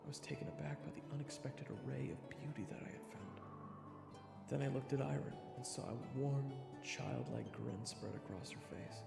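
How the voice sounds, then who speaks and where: A man's voice: mid-range voice, normal speed, vocal fry, loud music behind the voice. A man playing an earnest, candid human traveler in a fantasy audio roleplay, speaking casually and expressively. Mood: wondering narration. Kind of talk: audio roleplay acting with first-person narration.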